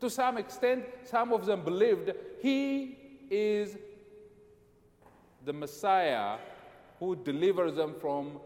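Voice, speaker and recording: Preacher from Ethiopia, a man with a high voice, emphatic, repetitive sermon phrasing, long reverb of a church hall. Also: expressive, slow, long pauses, a little mellow. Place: church sanctuary.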